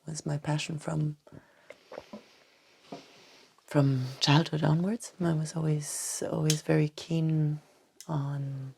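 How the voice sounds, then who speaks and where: A woman doctor from Tanzania answering interview questions thoughtfully, with many hesitant restarts and soft trailing phrases. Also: sits low, little pitch movement, a little slow, creaky, a little hiss or hum. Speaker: doctor and acupuncturist, podcast guest. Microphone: podcast microphone.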